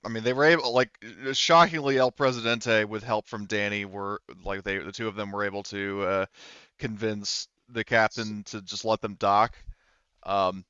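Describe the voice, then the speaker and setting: Bright, clear-voiced man running a tabletop game. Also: lowish voice, fairly even intonation, no room sound, muffled recording. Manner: halting, thinking aloud. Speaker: game master. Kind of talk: casual tabletop game narration and explanation.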